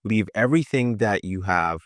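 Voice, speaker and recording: A man's voice: on the low side, expressive, moderate pace, no echo, studio quality. A man in his thirties from Ghana and Brooklyn, telling personal stories conversationally as an interview guest, repeating phrases for emphasis. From born in Ghana, grew up in Brooklyn, New York. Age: 30s. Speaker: venture capital partner, interview guest.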